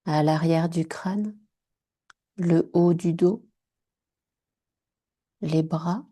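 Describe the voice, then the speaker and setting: A woman guiding a yoga nidra relaxation in short, hushed, soothing phrases. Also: on the low side, fairly even intonation, extremely slow. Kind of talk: guided meditation.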